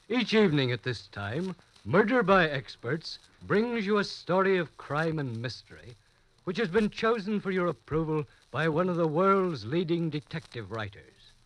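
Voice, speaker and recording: A man hosting and narrating an old radio mystery show in a measured, formal manner. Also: a little high, a bit bright, no room sound, low-quality recording. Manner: measured, formal storytelling delivery. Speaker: host and narrator. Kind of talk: 1940s radio drama narration. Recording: old 1940s radio broadcast.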